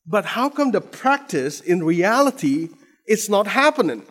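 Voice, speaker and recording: A man's voice: sits high, very animated, a little slow, fairly bright tone. A man from Indonesia with an Indonesian accent, preaching a sermon with frequent restarts and repeated words, building to emphatic stress. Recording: long hall reverb.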